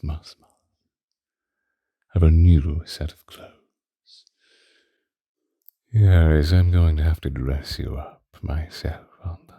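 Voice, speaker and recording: An ancient male vampire lord acted by a man speaks in an extremely low, very dark voice, slowly and intimately. His breathy, formal phrasing makes him a courtly, possessive lover in a romantic ASMR roleplay, recorded close and dry. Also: dry recording, heavily acted. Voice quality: breathy, husky. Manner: slow, theatrical, formal old-fashioned diction with lingering pauses.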